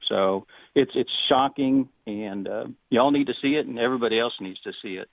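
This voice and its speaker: A man's voice: medium pitch, brisk, creaky, no echo. A man calling a radio show by telephone, speaking in a casual, chatty way. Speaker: phone-in caller. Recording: narrow-band phone line.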